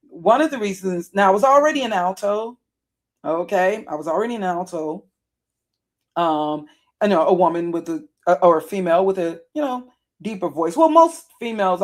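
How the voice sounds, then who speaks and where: A woman in her fifties with a deep contralto voice that sounds worn and raspy, telling personal stories to camera in a rambling, digressive way. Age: 50s. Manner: rambling and digressive, with frequent self-corrections. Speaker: vlogger. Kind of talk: casual personal storytelling to camera.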